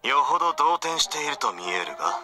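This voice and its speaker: An actor playing a proud, brusque young samurai warlord in a romance game, with a haughty, commanding, old-fashioned lordly manner. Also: male voice, a little high, big pitch swings, brisk. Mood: scornful, irritated. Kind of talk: dramatic visual novel acting. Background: loud music.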